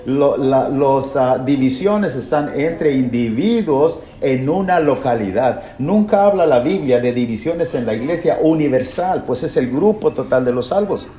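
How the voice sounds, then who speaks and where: A man's voice: medium pitch, expressive, unhurried, few pauses, warm tone. A man preaching a Bible lesson in an emphatic, didactic way, posing rhetorical questions and repeating key phrases. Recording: echoey room, faint background noise.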